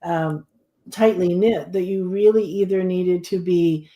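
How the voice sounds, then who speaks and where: A woman guest who channels, explaining her ideas in a thoughtful, measured way. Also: lowish voice, warm tone, muffled recording. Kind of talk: casual conversation. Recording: roomy echo.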